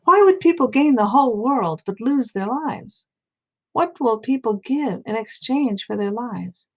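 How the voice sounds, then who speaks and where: A woman's voice: on the high side, lively intonation, unhurried. A woman reading scripture as scripted narration, with a dramatic, emphatic way of delivering dialogue. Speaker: audio Bible reader.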